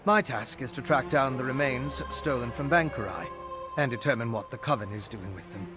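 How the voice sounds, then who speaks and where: An actor playing an earnest, inquisitive male investigator in a fantasy video game, speaking in a measured, articulate, studious way. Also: medium pitch, lightly acted. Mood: serious, explanatory. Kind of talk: video game character dialogue. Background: game music.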